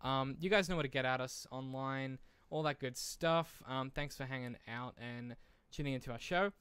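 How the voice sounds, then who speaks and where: A man's voice: medium pitch, normal speed, fairly bright tone, smooth, dry recording. A man co-hosting a podcast, chatting casually in a rambling, discursive way with the odd swear word. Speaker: podcast co-host. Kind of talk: casual conversational podcast chat.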